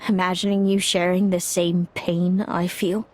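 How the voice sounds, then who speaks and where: A woman voicing a weary, bitter mysterious young woman in a game cutscene, speaking slowly and wistfully. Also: on the low side, flat delivery, darkish tone, slight background noise, lightly acted.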